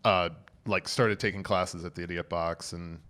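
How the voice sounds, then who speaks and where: A very low-voiced man of about forty sits in on a relaxed podcast chat as the guest comedian. He rambles along with plenty of fillers and laughs often. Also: moderate pace. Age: 40s.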